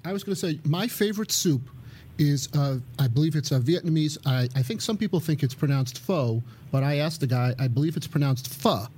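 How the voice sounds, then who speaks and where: A man in a casual podcast chat, talking enthusiastically in a halting, rambling way with many fillers. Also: a little high, lively intonation, darkish tone, a little hiss or hum. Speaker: podcast guest.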